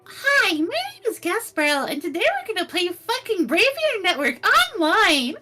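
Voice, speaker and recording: A woman mocking a squeaky cutesy anime streamer girl. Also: big pitch swings, normal speed, music in the background. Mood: chirpy. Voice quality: squeaky, high.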